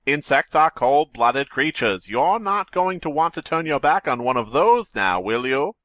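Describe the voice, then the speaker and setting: A man's voice: a little high, very animated, unhurried, fairly bright tone, no echo. A man voicing a menacing conspirator in a mock-sinister dramatic reading of a threat letter. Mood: mock menace.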